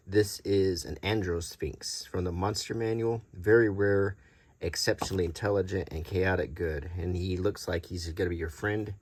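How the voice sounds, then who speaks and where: A man presenting collectible cards in a casual, unscripted show-and-tell, halting and pausing often as he describes things. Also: deep voice, slight background noise. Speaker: host presenting vintage collectible game cards.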